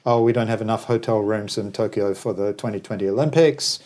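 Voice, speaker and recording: A man's voice: on the low side, a little fast, a little mellow. Discursive man from New Zealand. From New Zealand, later Australia. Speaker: interview guest, travel business operator. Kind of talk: conversational interview commentary.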